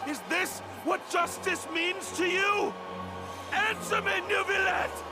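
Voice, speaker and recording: An actor playing a proud, aggrieved defendant, impassioned and dramatic, in a video game. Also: male voice, very high-pitched, fairly even intonation, slow, music in the background. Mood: outraged. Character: proud, aggrieved male defendant pleading his innocence.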